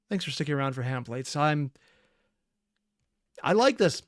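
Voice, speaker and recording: Dry-recorded, halting reaction host. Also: mid-range voice, lively intonation, no room sound. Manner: halting, emotionally invested. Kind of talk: casual reaction commentary.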